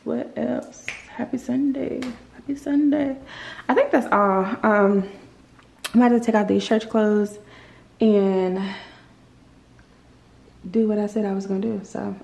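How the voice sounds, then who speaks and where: A young woman in her twenties talks to a handheld camera in her apartment, unhurried and relaxed in pace. Her voice has a gravelly, fry-tinged edge. She rambles casually with plenty of ums and repeated phrases. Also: mid-range voice, slight background noise. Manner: chatty and rambling, many ums, repeats herself. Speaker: lifestyle vlogger. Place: apartment living room and kitchen, indoors. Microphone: handheld camera on a grip, close.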